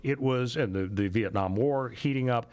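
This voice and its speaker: A man's voice: mid-range voice, moderate pace, a little mellow, dry recording. A middle-aged American man hosting a radio talk show, commenting on news and history in a conversational way. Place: radio studio. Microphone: close studio microphone.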